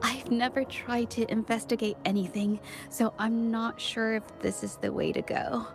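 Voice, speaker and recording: High-voiced, gentle young painter. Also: female voice, fairly even intonation, normal speed, vocal fry, over music. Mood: thoughtful, puzzled. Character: gentle, earnest young woman painter turned amateur investigator. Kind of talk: English video game voice acting.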